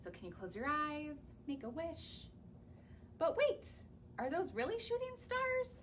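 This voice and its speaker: A woman's voice: high voice, wildly swooping pitch, a little hiss or hum. A young woman, a children's librarian, reading picture books aloud to small children and coaxing them with questions. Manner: sing-song, slow, coaxing, asks the children questions. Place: library or home, recorded on camera.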